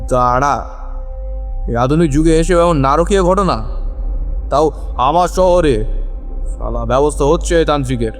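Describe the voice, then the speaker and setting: A man's voice: fairly high pitch, moderate pace, pauses often, lightly acted. A man as an outraged, echoing schoolmaster. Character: outraged, righteous male schoolmaster. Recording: long reverb over soft music.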